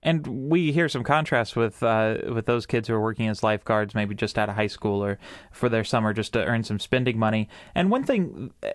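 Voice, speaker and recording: A man's voice: on the low side, lively intonation, fairly quick, dry recording. A man hosting a live radio news special with listener calls. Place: radio studio.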